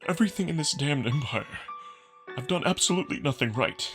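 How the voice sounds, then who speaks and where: A man voicing a proud male wolf prince who speaks in a halting, emotional, apologetic way, distraught and earnest. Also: on the high side, animated, music in the background, pitched above the performer's own voice. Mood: distraught, self-doubting.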